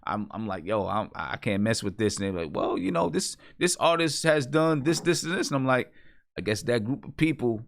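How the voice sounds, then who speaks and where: A man's voice: mid-range voice, expressive, normal speed, vocal fry. An American man hosting a music review show in casual, slangy conversation, giving opinions with some swearing.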